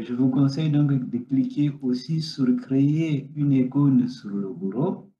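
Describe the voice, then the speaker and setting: A man whose first language is Portuguese, giving an unhurried, step-by-step software tutorial with many hesitations. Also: medium pitch. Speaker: data science instructor. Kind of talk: screen-recorded software tutorial. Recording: roomy, dull lo-fi sound.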